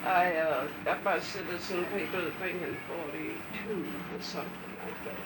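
An older woman answers questions in short, halting pieces, and she is a little hard of hearing. Her voice comes from some distance in an echoey room. A heavy hiss runs under the whole recording. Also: on the low side. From Bahamas. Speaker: interviewee. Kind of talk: casual recollection. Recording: roomy distant old field tape with loud hiss.

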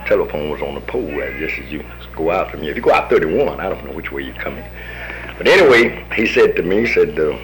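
A man's voice: very deep voice, lively intonation, fast, fairly bright tone, soft background music, rough recording. An American man in his fifties, an army veteran telling his story in a folksy manner, acting out the voices of the people he quotes. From United States. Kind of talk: oral history storytelling.

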